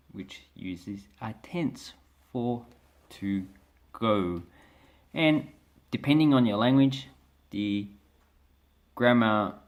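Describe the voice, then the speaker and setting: An Australian man giving a casual spoken lesson to camera, talking in a relaxed, hesitant way with many fillers and restarts as he shows pages from a book. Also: on the low side. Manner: explains by showing pages from a book. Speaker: language vlogger.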